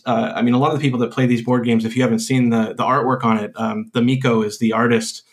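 Rambling game designer, many ums. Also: male voice, mid-range voice, flat delivery, fast. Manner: rambling, full of uhs and restarts. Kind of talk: casual interview chat.

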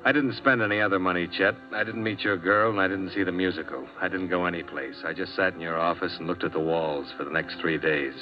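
An American actor playing a weary, wry insurance investigator in a 1950s radio drama, speaking in a terse, dry way. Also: male voice, deep voice, fairly even intonation, fast talker, few pauses, faint music behind, close to an everyday voice. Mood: weary, bitter. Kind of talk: 1950s radio drama acting with first-person narration. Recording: dull vintage 1950s radio recording.

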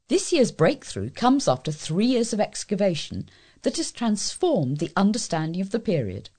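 A woman reads local news from a script as a volunteer newsreader. Her voice is soft-toned and mellow with a husky edge, and her intonation rises and falls in a lively way. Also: lowish voice.

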